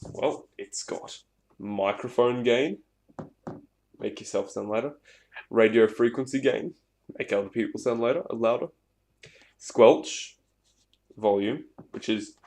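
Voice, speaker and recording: A man giving a casual hands-on gear demonstration in a rambling, off-the-cuff way, with some swearing. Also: mid-range voice. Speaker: hobbyist presenter. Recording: echoey room, clear background noise, clicks.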